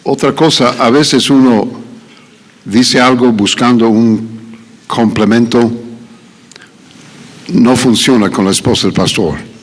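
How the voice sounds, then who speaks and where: A middle-aged man preaching a sermon in a church with a Mexican Spanish accent, pausing on many "ah" fillers and rising to emphatic questions. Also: medium pitch. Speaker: visiting preacher. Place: church sanctuary. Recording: echoey church hall.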